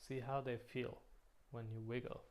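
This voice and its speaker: A man leading a guided meditation in a slow, soothing manner, pausing between short phrases. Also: mid-range voice, flat delivery, darkish tone, a little hiss or hum.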